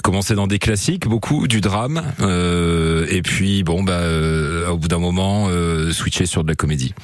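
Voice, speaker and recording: A man's voice: deep voice, normal speed, no room sound. A man from northern France, a stage actor, talking as a radio guest in a joking, hesitant way with many fillers.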